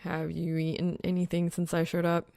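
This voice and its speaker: A woman's voice: lowish voice, monotone, moderate pace, creaky, warm tone, dry recording. A woman voicing a young male gamer in an audiobook, a blunt, grumpy and casual slacker. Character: Soul, young male gamer, scowling, food-obsessed slacker. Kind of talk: acted audiobook dialogue.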